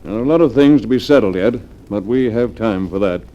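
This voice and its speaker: Actor as a low-voiced, domineering rich schemer. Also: male voice, a little fast, lightly acted. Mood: brisk, commanding. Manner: brusque, commanding, self-assured. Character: domineering, scheming wealthy middle-aged fugitive man. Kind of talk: 1940s radio acting. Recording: dull, lo-fi 1940s radio recording.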